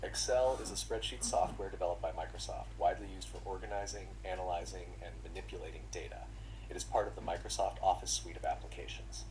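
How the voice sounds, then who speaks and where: Deep ai guy voice reading aloud fast, bit of noise behind. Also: darkish tone, poor audio. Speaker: AI voice assistant. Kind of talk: text-to-speech read-aloud. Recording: faint background noise, played through device.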